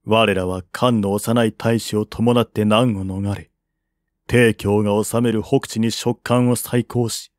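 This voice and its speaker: A man voicing a calm, grave strategist of a fallen kingdom in formal, measured audiobook dialogue. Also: fairly low pitch, no echo, studio quality. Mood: solemn, resentful.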